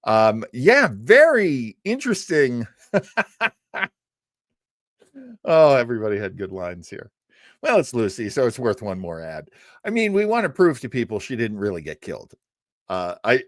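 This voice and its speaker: Wry, animated male podcast host, close sound. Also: medium pitch, no echo. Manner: hesitant, wry. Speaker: podcast host commenting on old radio. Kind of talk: casual, joking commentary.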